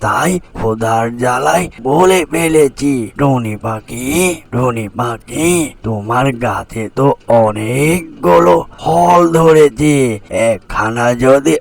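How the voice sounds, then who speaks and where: A man plays a poor old village grandfather in a cartoon fairy tale. His voice is gravelly and unhurried. He sounds humble and plaintive, drawing out his exclamations. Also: medium pitch. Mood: pleading. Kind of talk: slow cartoon storytelling dialogue.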